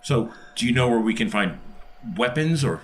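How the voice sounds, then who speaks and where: A man playing a tabletop role-playing game, chatting and improvising casually, often halting and repeating his words. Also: mid-range voice, animated, a little hiss or hum.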